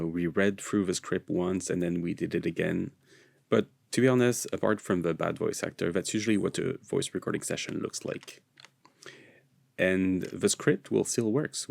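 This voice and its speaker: A man's voice: deep voice, steady tone, normal speed, vocal fry, dry recording, studio quality. A man who speaks English as a non-native with an American leaning, narrating a screencast tutorial in an informal, explanatory way. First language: not English. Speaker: software tutorial presenter.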